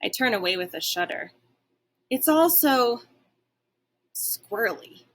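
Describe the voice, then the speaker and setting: A woman reading a children's story aloud like a teacher, with expressive narration. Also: on the high side, slow.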